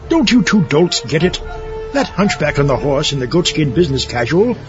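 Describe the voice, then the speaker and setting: Man as an irritable, higher-voiced scientist. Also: big pitch swings, normal speed. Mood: scornful. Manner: exasperated, snide. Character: irritable, sarcastic, know-it-all male scientist astronaut. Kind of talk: comedic audio drama acting. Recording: muffled lo-fi recording. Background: music.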